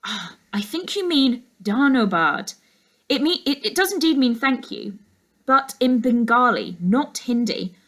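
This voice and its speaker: A woman's voice: fairly high pitch, lively intonation, fairly bright tone, pitched above the performer's own voice. Woman reading as a grave, commanding detective uncle. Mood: urgent, authoritative. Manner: measured, commanding, matter-of-fact. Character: serious, authoritative ex-detective uncle.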